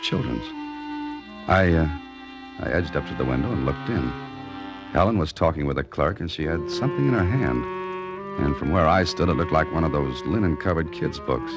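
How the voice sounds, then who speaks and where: A man's voice: rumbling low, lively intonation, normal speed, poor audio. A man playing a cool, wry novelist turned amateur sleuth in a 1940s radio drama, with a dry, teasing, understated manner. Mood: tense narrating. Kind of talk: 1940s radio drama acting and first-person narration. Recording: vintage radio recording with music.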